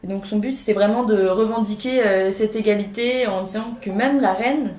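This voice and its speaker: A woman co-hosting a casual discussion show, explaining facts in a halting way with many fillers. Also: medium pitch, a little mellow. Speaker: podcast co-host. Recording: echoey room.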